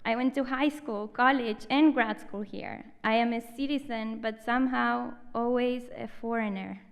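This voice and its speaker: A woman's voice: a little high, gravelly, clear, resonant tone, big-room sound. Argentinian-accented woman reading a reflective essay. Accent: Argentinian Spanish. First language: Spanish. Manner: measured, reflective, emphatic pauses. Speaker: storyteller. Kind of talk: personal essay read on stage. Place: theatre stage.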